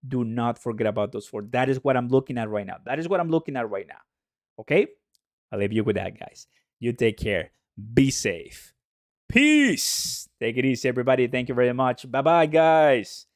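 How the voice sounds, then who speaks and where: Man, Mexican accent, native Spanish speaker, mid-pitched voice, dry close sound, excitable livestream host, repeats himself for emphasis. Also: no room sound. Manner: hype-driven, repeats phrases for emphasis. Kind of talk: excitable livestream commentary to viewers.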